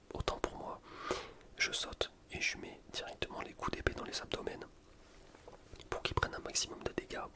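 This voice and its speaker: A man speaks entirely in a breathy, unvoiced whisper. He gives ASMR commentary on a video game in a casual, slangy way, poking fun at himself as he goes. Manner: casual, slangy, self-deprecating. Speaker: gaming ASMR video host.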